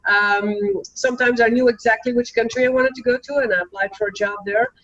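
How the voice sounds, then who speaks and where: Brief: woman guest, fairly high voice, quick chatty delivery, dull lo-fi line. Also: steady tone, fairly bright tone. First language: Dutch. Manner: quick, chatty, many "you know" fillers. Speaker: guest, author and teacher. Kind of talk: casual interview conversation. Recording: dull lo-fi sound.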